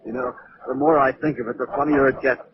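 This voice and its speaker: An actor playing a flippant, carefree rich young thrill-seeker in 1930s radio drama style. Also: male voice, mid-range voice, steady tone, fast talker, lightly acted. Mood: flippant, amused. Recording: muffled old radio recording, background chatter.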